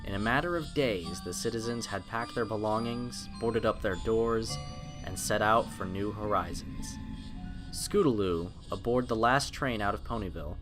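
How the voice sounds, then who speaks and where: Guy with a lowish voice doing dramatic scary story narration, serious n suspenseful, music going behind him. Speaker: narrator of a fan fiction horror reading.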